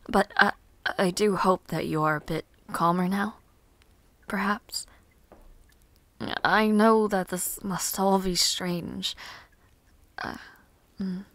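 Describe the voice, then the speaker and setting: A woman playing a caring, easily flustered young noblewoman in a fantasy audio roleplay, soft-spoken, hesitant and politely formal. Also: medium pitch, fairly even intonation, takes it slowly, long pauses, dry recording.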